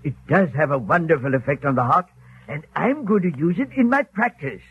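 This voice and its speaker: A muffled 1930s radio recording of an eager country physician. His high, very raspy voice is courteous and inquisitive, with theatrical delivery. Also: animated, fairly quick. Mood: pleased, earnest. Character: eager, polite, curious country physician of long ago.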